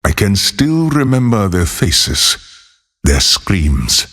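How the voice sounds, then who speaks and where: Deep, husky, brooding desert outlaw. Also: male voice, big pitch swings, fairly bright tone, full character voice. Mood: weary, reflective. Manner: measured, solemn, brooding. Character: solitary lawless desert wanderer, haunted male former monk. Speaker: video game character voice actor. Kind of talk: scripted game character voicelines.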